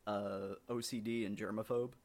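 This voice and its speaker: A man's voice: lowish voice, level tone, moderate pace, a little mellow. A man from Ohio, a writer, speaking as a podcast guest in casual chat, rambling with many ums and restarts. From southern Ohio, US.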